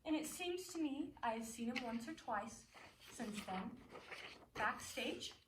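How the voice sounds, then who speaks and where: A woman's voice: on the high side, animated, a little slow. A woman playing a self-assured, haughty actress in a stage play, composed and emphatic. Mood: poised, dismissive. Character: self-assured, indignant actress accused of stealing a husband. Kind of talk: one-act stage play acting. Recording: echoey room from far off, noisy.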